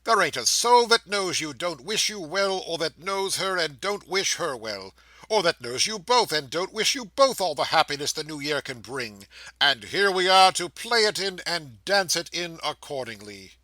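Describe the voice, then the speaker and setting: A man voicing a loud, tipsy neighbourhood drummer giving a hearty, booming speech in a storybook reading. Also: big pitch swings, moderate pace, no room sound.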